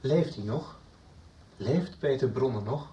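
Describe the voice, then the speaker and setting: A man's voice: medium pitch, lively intonation, takes it slowly, dark tone. An actor playing a man searching for a missing person, pressing with questions. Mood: urgent. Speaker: character in a radio soap. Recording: roomy, from a distance.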